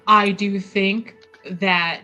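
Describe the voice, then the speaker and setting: Slow-talking woman player, chatty. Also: medium pitch, fairly even intonation, sharp tone, soft background music, poor audio. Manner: chatty, laughs often. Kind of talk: casual tabletop play.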